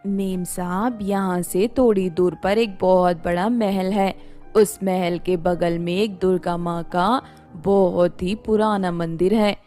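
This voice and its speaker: A woman's voice: fairly low pitch, steady tone, takes it slowly, mellow, faint music behind, pitched below the performer's own voice. A woman voicing a deferential servant in a story, giving respectful, earnest advice. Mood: earnest advising.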